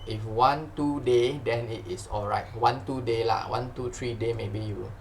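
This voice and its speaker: A man's voice: mid-range voice, steady tone, measured pace, a little hiss or hum. A man with a Malaysian accent teaching science informally, repeating phrases and tagging sentences with "lah" and "huh". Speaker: science tutor. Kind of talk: informal exam-question lesson.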